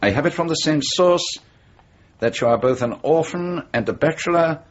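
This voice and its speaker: An actor playing a secretive, insistent colonel in a radio drama, speaking in a formal and deliberate manner. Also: male voice, fairly high pitch, lively intonation, highs cut off. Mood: formal, probing. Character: secretive, insistent, thin middle-aged male colonel.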